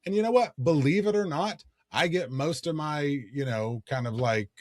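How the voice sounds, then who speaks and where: A man co-hosting a podcast, telling a story in casual chat, his voice a little raspy from singing. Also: a little high, normal speed, no room sound, clean studio sound. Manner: animated storyteller, jokey. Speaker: podcast co-host.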